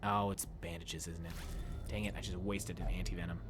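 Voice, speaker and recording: A man's voice: low-pitched, brisk, a little hiss or hum. A young man chatting casually with his viewers while he plays, talking into a headset mic in a small room. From United States. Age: young adult. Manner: chatty, animated, talks to chat while playing. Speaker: streamer. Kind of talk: casual gameplay commentary with chat.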